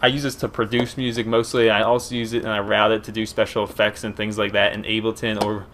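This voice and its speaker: A man's voice: on the low side, flat delivery, quick pace, fairly bright tone, slight background noise. A young man in his late teens with a Philadelphia and Eastern Pennsylvania accent, chatting casually through a Q and A over gameplay, rambling and easygoing with many fillers. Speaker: gaming commentator. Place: small room at home, indoors.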